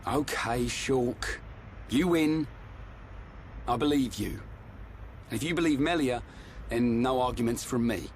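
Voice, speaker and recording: Brash young male fighter, actor's performance, medium pitch, blunt, informal, video game drama, faint noise. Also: unhurried, long pauses, natural voice. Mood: grudging trust.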